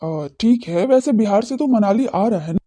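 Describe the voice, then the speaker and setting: An earnest young man in a family audio drama, acted by a man. His voice is very soft and dark in tone, and he speaks in a familiar way. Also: sits high, lively intonation, normal speed. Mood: casual.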